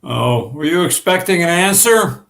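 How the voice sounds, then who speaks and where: A man's voice: a little high, expressive, a little slow, vocal fry, a bit bright, full character voice. A man playing a grumpy, indifferent husband who is dry, curt and sarcastic, in a staged play reading. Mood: dry, dismissive.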